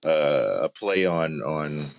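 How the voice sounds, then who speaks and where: A man's voice: extremely low pitch, expressive, slow pace, vocal fry, slight background noise. A man chatting casually as a guest on a talk show. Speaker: guest, game studio founder. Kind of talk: casual chat.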